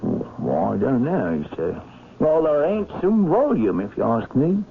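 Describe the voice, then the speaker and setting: A halting, polite ship captain in a radio drama. His voice is creaky and hesitant. It comes through a noisy, narrow vintage broadcast. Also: medium pitch, very animated. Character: deferential male ship captain, hesitant and puzzled.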